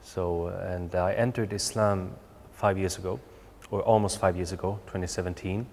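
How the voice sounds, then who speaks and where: A young man, his voice on the low side. He answers questions haltingly, full of "like" and "you know", restarting his phrases. The studio gives his voice a long, echoey tail. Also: steady tone, darkish tone, a little hiss or hum. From Sweden. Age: 20s. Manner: hesitant, many fillers like "like" and "you know". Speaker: guest. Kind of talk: interview answers.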